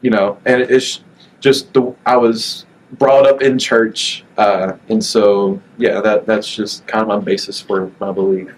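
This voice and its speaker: A nineteen-year-old man talking as a guest on a podcast, relaxed, often pausing with fillers and restarting his sentences. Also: fairly low pitch, rough recording. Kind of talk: casual interview chat.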